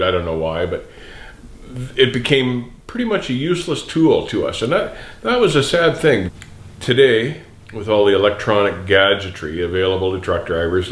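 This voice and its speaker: A middle-aged man, a veteran trucker, reminiscing casually and nostalgically to viewers in a plain-spoken, folksy way. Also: sits low. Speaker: veteran trucker and video host. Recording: roomy, echoey sound.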